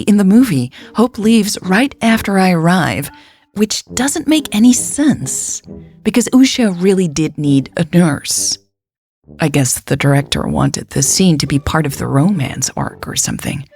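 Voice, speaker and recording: Female storyteller, lower register with some creak, confiding first-person romance narration over faint music. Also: a little mellow. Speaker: podcast storyteller.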